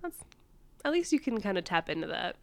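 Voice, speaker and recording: A woman's voice: mid-range voice, big pitch swings, brisk, no room sound. A young woman co-hosting a podcast, agreeing easily and often dropping into a near-whisper. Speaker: podcast co-host. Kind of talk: casual chat recapping a sitcom episode.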